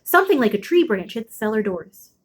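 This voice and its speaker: A woman reading a children's chapter book aloud expressively, with dramatic pauses and drawn-out emphasis. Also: a little high, sing-song, breathy edge. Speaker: storybook read-aloud host.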